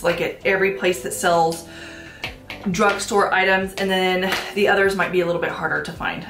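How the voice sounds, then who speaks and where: A woman in her thirties, a bit stuffy and sniffly, talks easily through her makeup routine at a middle pitch. She fills the gaps with loose ums and likes. The room gives her voice a noticeable echo, with soft music underneath. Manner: chatty, relaxed, with frequent ums and likes. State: congested from allergies, sniffling. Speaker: beauty vlogger. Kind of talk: casual makeup tutorial talk. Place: small room at home, plain backdrop. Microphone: camera at head-and-shoulders framing.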